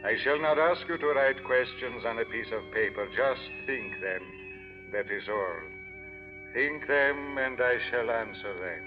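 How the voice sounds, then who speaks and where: A man's voice: mid-range voice, a little slow, music in the background, lightly acted. A man playing a solemn old mystic, dull vintage recording. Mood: grandly mystical. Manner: formal, grandiose, stilted phrasing. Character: solemn old Indian swami mystic with mind-reading powers. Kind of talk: 1940s radio mystery acting.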